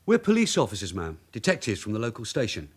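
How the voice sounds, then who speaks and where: Lively, streetwise London police sergeant. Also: male voice, lowish voice, breathy edge, heavily acted. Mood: polite, official. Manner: brisk, commanding, clipped. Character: streetwise, bossy long-serving male London detective sergeant. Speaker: lead actor in a radio crime drama. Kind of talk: naturalistic British radio drama acting.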